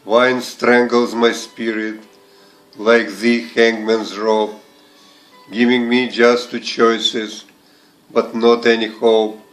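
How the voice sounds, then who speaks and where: A man recites verse at a very unhurried pace, with a mid-pitched voice that stays level. He sets out each short phrase deliberately, leaving pauses between them. Soft guitar music plays faintly behind him. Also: little pitch movement, poor audio. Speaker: poetry reader and translator. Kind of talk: slow, measured poetry recitation.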